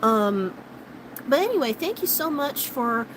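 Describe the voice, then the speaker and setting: A woman's voice: high-pitched, very animated, measured pace, noisy background. A woman in her late thirties chatting casually and rambling with viewers, many fillers, her voice starting to wear out. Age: 30s. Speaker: vlogger. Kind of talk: casual chat with live viewers.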